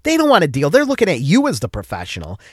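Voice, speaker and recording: A man's voice: on the high side, wildly swooping pitch, fast, slightly rough, no echo, studio quality. A man with a stuffed-up nose from a cold, hosting a casual solo podcast and rambling with frequent restarts. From upstate New York. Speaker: podcast host.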